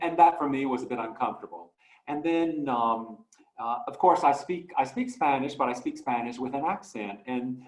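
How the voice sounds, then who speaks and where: Halting, reflective man in an echoey room. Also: medium pitch. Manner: halting, many fillers and restarts. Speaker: guest artist. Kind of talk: presenting artwork in a talk.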